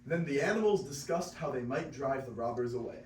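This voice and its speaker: A man's voice: mid-range voice, lively intonation, brisk, a little mellow. A teenage boy narrating a scripted story as part of a classroom reader's theater. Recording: echoey classroom, distant mic, faint noise.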